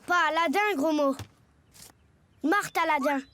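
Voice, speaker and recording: A woman's voice: high-pitched, a little slow, fairly bright tone. A woman voicing a polite, good-natured young schoolboy in a French cartoon, earnest and a little hesitant. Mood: cheerful, confident. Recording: music behind the voice. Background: music.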